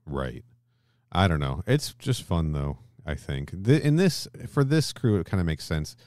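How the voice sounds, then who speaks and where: A man with a very deep voice talks casually as a podcast co-host. The sound is close and dry. He explains in a halting way, often rewording his phrases as he goes. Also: sing-song, a little mellow, dry recording. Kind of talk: casual discussion.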